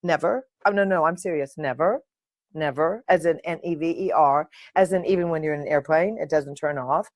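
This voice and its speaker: A woman hosting her own podcast, talking in a playful, animated and uninhibited way, close to the mic. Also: deep voice, brisk, no room sound. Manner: playful, animated, sings and makes silly sounds; uninhibited, swears. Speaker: podcast host. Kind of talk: solo podcast chat.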